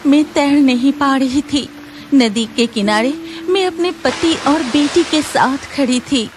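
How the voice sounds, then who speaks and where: A woman voicing a gentle, sorrowful mermaid in a children's cartoon story, speaking plaintively. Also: sits high, background noise. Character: gentle sorrowful mermaid separated from her family.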